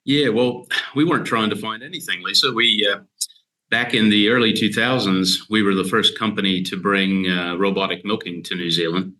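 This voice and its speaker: An American man in his fifties, a dairy scientist being interviewed, explaining his work steadily and in detail with frequent pauses. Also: on the low side, steady tone, sharp tone, rough recording. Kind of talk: casual explanatory interview talk.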